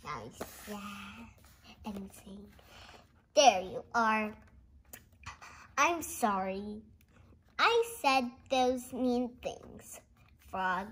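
A young girl hosting a read-along, reading a picture book aloud and reacting chattily in a giggly, excitable way. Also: mid-range voice, drawn-out words, long pauses, breathy edge. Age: child. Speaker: host of a read-along video. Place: indoors at a dark wooden table. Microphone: camera pointed down at the book.

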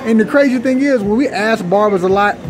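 A young man interviewing a barber, upbeat and quick to laugh. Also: sits high, low-quality recording. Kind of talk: casual interview. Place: barbershop, indoors. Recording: music in background. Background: music playing.

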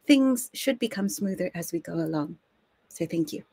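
A woman's voice: fairly low pitch, animated, moderate pace, mellow, breathy edge, no room sound. American woman hosting a history livestream. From United States. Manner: chatty, friendly, with fillers and small laughs. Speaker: host. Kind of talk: live-streamed history show introduction. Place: home study with bookshelves.

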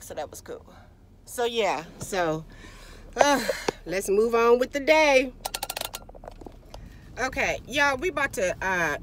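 A woman in her forties rambles to her viewers from the driver's seat, loose and conversational, calling them "girl." Her voice sits in an ordinary middle pitch. Faint car and street noise hums under the phone recording. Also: a bit bright. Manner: chatty, loose and conversational, often calls listeners "girl". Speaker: vlogger. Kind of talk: casual chat. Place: parked car. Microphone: phone camera at arm's length.

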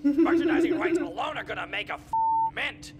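A man's voice: very high voice, sing-song, brisk, crowd noise. A man voicing a brash, cheerful henchman turned producer in a parody anime dub, boastful and exuberant. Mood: breezy.

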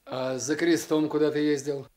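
An actor playing a gruff, wry district chief in a radio play, questioning briskly with dry authority. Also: male voice, a little high, level tone, darkish tone, slight background noise, close to an everyday voice. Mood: gruff teasing.

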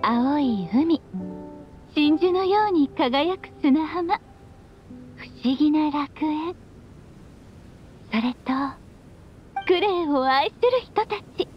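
A woman's voice: sits high, expressive, slow pace, pauses often, lo-fi sound. A woman playing a playful, loving, long-lived witch mother, speaking tenderly to her child like a storyteller in an anime game. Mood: tender, nostalgic. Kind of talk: Japanese anime game voice acting. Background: background music.

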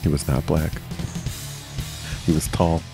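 A man co-hosting a podcast in casual chat, laid-back with deadpan jokes. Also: rumbling low, takes it slowly, creaky, music in the background. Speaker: podcast co-host.

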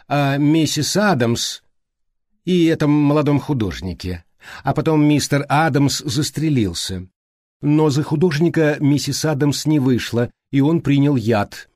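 A man voicing a prim, brisk, unsentimental female secretary in an audiobook, precise and matter-of-fact. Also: a little high, lively intonation, darkish tone, dry recording, studio quality, pitched above the performer's own voice, natural voice. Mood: gossipy, detached. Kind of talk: audiobook character reading.

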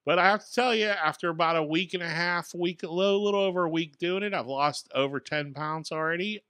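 A man in his forties co-hosting a casual tech podcast, telling a relaxed, self-deprecating story from a small studio with a boom mic. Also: a little fast, clean tone, no echo. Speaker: podcast co-host. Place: small home studio with acoustic panels. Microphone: studio mic on boom arm.

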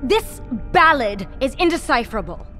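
High-voiced, haughty cartoon villainess. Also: female voice, sing-song, normal speed, sharp tone, heavily acted. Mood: dry, annoyed. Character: haughty female cartoon sorceress villain, immortal and bossy. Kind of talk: animated film voice acting. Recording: film clip with music underneath.

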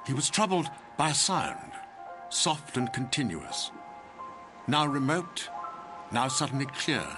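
A man's voice: medium pitch, very animated, slow pace. A man narrating a literary drama from a script. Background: music.

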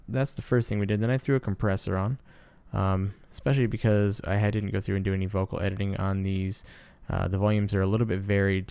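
Low-key guy explaining stuff in a casual tutorial, lots of vocal fry, dry sound. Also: darkish tone, no room sound. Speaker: sound designer, tutorial presenter. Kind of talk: casual screen-recorded tutorial narration.

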